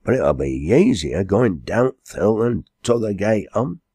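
A man's voice: fairly low pitch, very animated, measured pace, warm tone, husky, dry recording, voice pushed up, heavily acted. A man voicing a blunt, hearty rural quarryman in a storybook, with emphatic Lancashire dialect. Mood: impressed, hearty.

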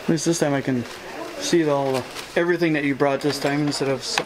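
A man's voice: on the high side, steady tone, quick pace. A man with an Upper Midwest American accent chatting casually and laughing often while walking around a crowded expo hall, talking into a handheld camera. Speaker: vlogger at a reptile expo. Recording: loud crowd noise in hall.

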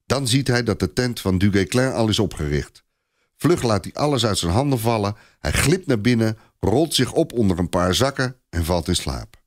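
A man's voice: lowish voice, lively intonation, moderate pace. A man narrating a scripted story in a dramatic storytelling style.

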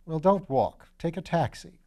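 Storybook lead: man as a flippant aristocratic sleuth, shrewd, breezy, lifted pitch. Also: expressive, normal speed, warm tone, natural voice. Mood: urgent, assured. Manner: breezy, self-deprecating, assured.

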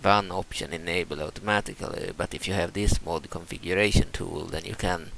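A man's voice: low-pitched, fairly even intonation, brisk, vocal fry, a bit bright, slight background noise. A young Swedish man with a Swedish accent, talking casually and hesitantly through a game mod walkthrough, with many fillers and restarts. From Sweden. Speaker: gaming channel host.

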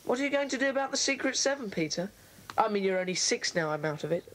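Earnest, protesting schoolboy. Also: male voice, high-pitched, expressive, quick pace, a bit bright, rough recording. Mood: aggrieved. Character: earnest, apologetic schoolboy in a secret club. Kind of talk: children's audio drama acting.

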